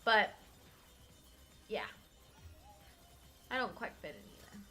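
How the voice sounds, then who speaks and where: A woman's voice: medium pitch, animated, slow pace, bright, forceful tone, faint music behind. A young woman from Miami chatting casually as a co-host, leaning on "like" as she talks. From Miami, United States. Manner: chatty, heavy use of "like". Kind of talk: casual chat.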